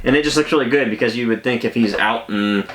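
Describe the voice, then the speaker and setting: A man's voice: medium pitch, quick pace, crowd noise. A man hosting a casual unboxing, talking to viewers in an enthusiastic, conversational way. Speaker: collectibles unboxing host. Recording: echoey room.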